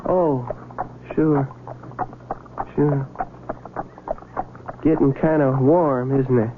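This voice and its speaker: An actor playing a confused, guilt-ridden wounded Civil War soldier in a radio drama, with an American accent and folksy, stammering speech. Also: male voice, medium pitch, fairly even intonation, drawn-out words. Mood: confused, sheepish. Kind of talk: 1970s radio drama acting. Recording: tinny, noisy old radio recording.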